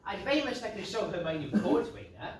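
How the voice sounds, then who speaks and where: A woman's voice: medium pitch, expressive, quick pace, lightly acted. A woman playing a polite, nerdy, eager young suitor in a stage pantomime, speaking earnestly and precisely. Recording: echoey noisy stage, far off.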